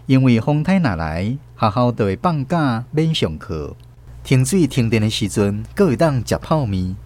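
A man's voice: medium pitch, very animated, normal speed. A man with a Taiwanese accent narrating a scripted radio story as its host. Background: soft plucked-guitar music.

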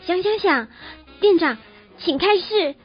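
A woman's voice: very high voice, expressive, takes it slowly, exaggerated voice. A woman playing an eager, nosy, lovestruck young bar worker in an audio drama, with a Taiwanese Mandarin accent. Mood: eager, playful. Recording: slightly dull audio with music. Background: background music.

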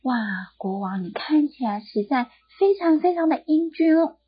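A woman voicing an anxious, meek young male barber in a storybook tale, pleading and often breaking into high, cracking cries. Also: animated, normal speed, highs cut off. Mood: flattering.

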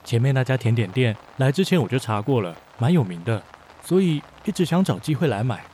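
An actor playing a reserved, considerate young company boss in a romantic radio drama, composed and understated. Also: male voice, medium pitch, expressive, brisk, warm tone, close to an everyday voice. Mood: gently considerate. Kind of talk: modern urban romantic radio drama acting. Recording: faint noise, rain ambience.

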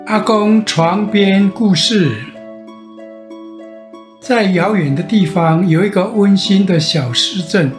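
An older man with a Taiwanese Mandarin accent tells a children's bedtime story in a gentle, expressive way with drawn-out phrase endings. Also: sits high, faint music behind. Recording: echoey room sound.

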